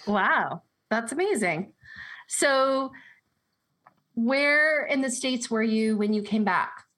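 A woman's voice: a little high, lively intonation, slow pace, a bit bright, poor audio. Upbeat woman podcast host. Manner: upbeat and encouraging. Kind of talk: interview hosting.

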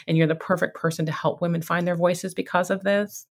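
Woman podcast host, lower pitch; encouraging, chatty delivery. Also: little pitch movement, fairly quick. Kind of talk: conversational interview.

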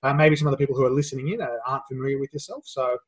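A man's voice: medium pitch, racing. A man hosting a podcast, upbeat and loose, with lots of fillers. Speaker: podcast host.

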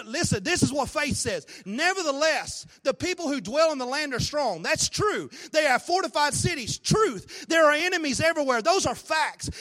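A man preaching a sermon with a hoarse, raspy voice, swinging between low talk and shouted, sung-out peaks. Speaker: pastor. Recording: long hall reverb.

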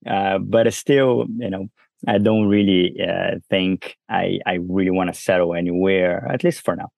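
A man's voice: low voice, steady tone, normal speed, gravelly, darkish tone, no room sound. A man from Brazil with a Brazilian accent tinged with French, talking casually as an interview guest, with many fillers and restarts. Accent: Brazilian with some French. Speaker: guest entrepreneur.

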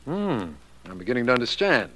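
An actor playing a slightly baffled, easily impressed American district attorney in 1940s radio style, formal, measured and inquisitive. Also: male voice, mid-range voice, big pitch swings, fairly bright tone, slight background noise. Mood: inquisitive, satisfied. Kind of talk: 1940s radio drama acting.

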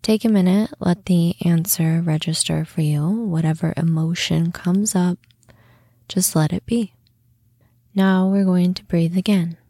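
A woman hosting a guided meditation, speaking in a soothing, unhurried manner with long pauses and softly breathed phrase endings. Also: lowish voice, fairly even intonation, no room sound, studio quality. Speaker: podcast host and meditation guide.

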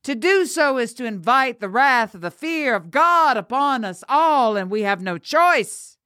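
A woman's voice: a little high, animated, a little slow, pushed and bright, no echo, voice pushed up. A devout father and priest acted out by a woman preaches with solemn restraint in a storybook tragedy. The sorrow is held back, and the sound is dry and close. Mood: stern, resigned. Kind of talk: dramatic storytelling.

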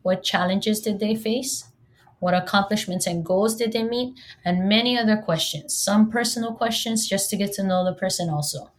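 A woman's voice: medium pitch, moderate pace. A woman from Hawaii whose first language is Hawaiian, in her thirties, hosting a solo podcast in a chatty, joking way and talking straight to her listeners. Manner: chatty and humorous, addresses listeners directly. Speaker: podcast host.

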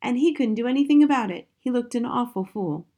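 A woman's voice: fairly high pitch, expressive, fairly quick, dark tone, husky, pitched above the performer's own voice. A woman voicing a sly little daughter in a storybook, cheeky but innocent and matter-of-fact.

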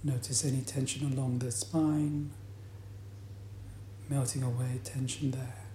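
A man guides a meditation in a soft-edged, relaxed voice of middling pitch. He speaks very slowly and deliberately, leaving long, calm pauses between phrases. The room adds a noticeable echo to his voice. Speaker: meditation teacher. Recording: roomy echo, faint background noise.

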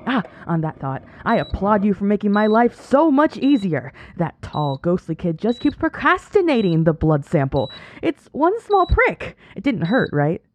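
A woman's voice: medium pitch, very animated, normal speed, a little mellow, muffled recording. A woman playing a chatty, saccharine nurse with an unsettlingly careless manner in an audio drama. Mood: gossipy, careless. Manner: sugary endearments, breezy, chattering.

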